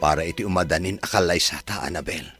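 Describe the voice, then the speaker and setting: An actor with a Filipino accent playing an earnest, reassuring sweetheart in a radio drama, with a gentle manner. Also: male voice, deep voice, fast, soft background music.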